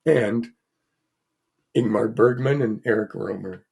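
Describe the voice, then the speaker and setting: A man co-hosting a casual film podcast, sounding croaky from a cold and rambling with many fillers and restarts. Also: lowish voice, slow, noisy background. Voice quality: gravelly, husky. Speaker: podcast co-host.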